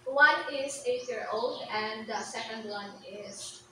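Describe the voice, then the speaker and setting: Soft-spoken Filipina woman in an echoey room. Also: fairly high pitch, slow pace, distant mic. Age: 20s. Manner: soft-spoken, brief, shy answers. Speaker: interview guest. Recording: very echoey room, voice from off the mic, fan noise.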